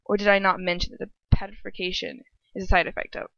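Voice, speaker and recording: A cunning young witch, voiced by a woman for a fan story reading, threatens with airy, condescending sweetness. The tone is bright and very dry, on a slightly lo-fi recording. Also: mid-range voice, level tone, quick pace, creaky, no echo. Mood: mock-sweet. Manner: taunting, condescending.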